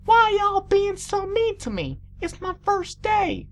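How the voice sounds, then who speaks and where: A woman's voice: very high voice, very animated, slow, voice pushed up, full character voice. A woman voicing a naive young pony filly with a southern drawl in a storybook reading. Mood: puzzled, hurt.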